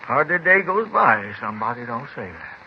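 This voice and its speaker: An actor playing a boozy, evasive neighbor in a 1950s radio drama, folksy and repetitive, with halting, ungrammatical speech. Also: male voice, mid-range voice, sing-song. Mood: tipsy, self-pitying. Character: boozy, rambling, evasive male neighbor who likes a drink. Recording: muffled, noisy old 1950s radio recording.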